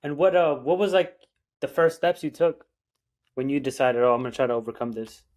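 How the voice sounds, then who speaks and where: A man's voice: medium pitch, fairly even intonation, a little fast, darkish tone. Casual man asking interview questions. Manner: casual, loosely phrased questions with fillers. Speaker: podcast host.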